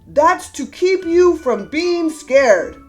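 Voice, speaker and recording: A woman's voice: extremely high pitch, lively intonation, faint music behind, voice pushed up, full character voice. A woman voicing a big grown male bear from a storybook, a patient and weary caregiver. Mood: reassuring. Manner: patient, drawn-out questions and reassurances. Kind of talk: storybook character read-aloud.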